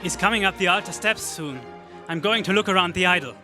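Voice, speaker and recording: A man's voice: high-pitched, fast, over music, close to an everyday voice. A man playing a brave young Earth hero, earnest and urgent, in a 1930s radio serial style. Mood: urgent resolve.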